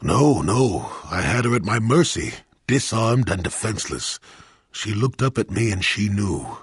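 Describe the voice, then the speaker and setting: Husky, gruff old man. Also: on the low side, very animated, measured pace, no echo, studio quality, exaggerated voice. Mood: regretful, sorrowful. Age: older. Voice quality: gravelly, husky. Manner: wry, rambling, dramatic storyteller. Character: gruff, rueful, cantankerous old male former Jedi. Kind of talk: scripted video game voice acting.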